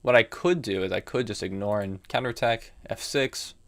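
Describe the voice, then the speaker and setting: A man's voice: lowish voice, normal speed, a bit bright. A young American man in his early twenties streaming chess, thinking aloud about his moves and answering chat in a casual, musing way. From United States. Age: young adult. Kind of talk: live commentary while playing chess.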